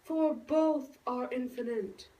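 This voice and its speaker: A woman playing a lovestruck, earnest young noble girl in a puppet show, speaking Shakespearean verse in a theatrical, drawn-out way. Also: high voice, mellow. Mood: devoted. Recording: roomy echo, distant microphone.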